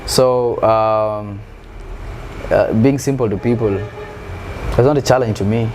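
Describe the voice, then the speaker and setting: A man's voice: fairly low pitch, slow. South sudanese guy, musician, earnest, keeps repeating words, noisy background. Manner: earnest, repeats words while searching for phrasing. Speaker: musician guest. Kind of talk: casual interview answers. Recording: steady background noise.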